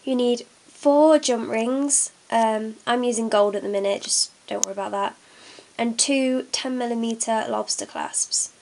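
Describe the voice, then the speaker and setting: A woman's voice: on the high side, fairly even intonation, measured pace, darkish tone, slight background noise. A young woman with a cold and a blocked nose, chatting through a hands-on craft tutorial with lots of ums. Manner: chatty, many fillers, talks while working. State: congested from a cold. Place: at a table indoors, hands on camera.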